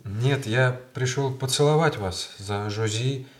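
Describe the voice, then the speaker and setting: An actor playing an earnest, respectful young grandson in a radio play. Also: male voice, mid-range voice, a little slow, close to an everyday voice. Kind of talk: Russian radio play acting.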